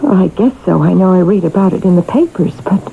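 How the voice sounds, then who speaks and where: Timid daughter with creaky voice. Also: female voice, on the low side, animated, quick pace. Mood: hesitant, troubled. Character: timid, confused, dependent daughter, anxious about her double. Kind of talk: 1970s radio drama acting. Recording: tinny, noisy old radio broadcast.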